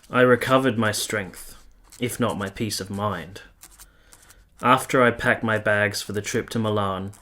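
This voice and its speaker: Man acting a formal, soft-hearted period gentleman. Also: on the low side. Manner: blunt, formal phrasing, sighing. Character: exasperated but soft-hearted 1870s gentleman. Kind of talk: period radio drama acting.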